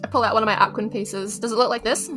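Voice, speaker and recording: New Zealand woman, slightly high voice, casual role-play podcast player over a light music bed. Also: steady tone, fast talker, fairly bright tone. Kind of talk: casual in-character game chat.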